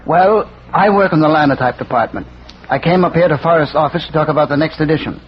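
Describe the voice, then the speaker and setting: A man's voice: a little high, fast talker, natural voice. An actor playing a plain-spoken, insistent working man pleading his case in a 1940s radio drama. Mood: defensive, earnest. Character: earnest, defensive working man under police questioning. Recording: noisy muffled vintage radio recording.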